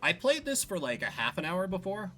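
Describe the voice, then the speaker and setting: Guy streamer thinking out loud, bright voice, pitch all over, faint music. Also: sing-song, brisk. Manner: musing, thinking aloud. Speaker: streamer playing and voicing a game. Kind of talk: casual livestream commentary.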